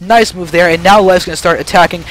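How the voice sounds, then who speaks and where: High-pitched young male esports caster. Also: fast talker, fairly bright tone, a little hiss or hum, poor audio. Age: young adult. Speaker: esports commentator. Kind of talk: live StarCraft match commentary.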